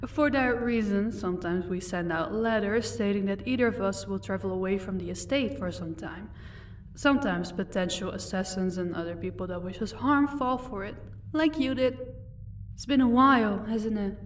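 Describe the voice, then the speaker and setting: A powerful vampire mistress played by a woman speaks from a little way off the microphone at a medium pitch. She is condescending and commanding, mocking her listener with unhurried composure, as in an intimate audio drama, over faint noise. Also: far from the mic, lightly acted. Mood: amused, explaining. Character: powerful female vampire, mocking, commanding, married lady of an estate. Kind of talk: audio roleplay acting addressed to the listener.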